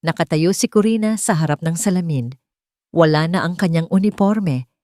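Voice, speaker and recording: A woman narrates a dramatic story in a fairly low voice. Her delivery is scripted, measured and deliberate, with each phrase set out carefully. The sound is dry, with very little room. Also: darkish tone, dry recording.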